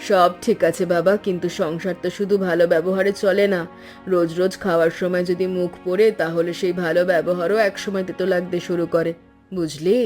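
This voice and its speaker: A woman's voice: lowish voice, little pitch movement, fairly quick, darkish tone, faint music behind, lightly acted. A woman voicing a worried, ailing elderly mother in a cartoon story. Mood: concerned. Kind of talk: animated story voice acting.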